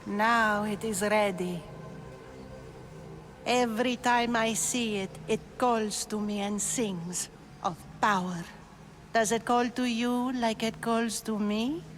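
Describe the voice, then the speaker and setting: A woman's voice: fairly high pitch, fairly even intonation, takes it slowly, a little hiss or hum, rough recording. An actress playing a proud, scornful noblewoman cultist in a video game cutscene, talking in a commanding way. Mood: reverent.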